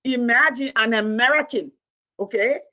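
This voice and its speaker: A woman's voice: a little high, moderate pace, bright, forceful tone. A woman with a Liberian accent, telling a story in a casual talk show and often checking in with "okay?".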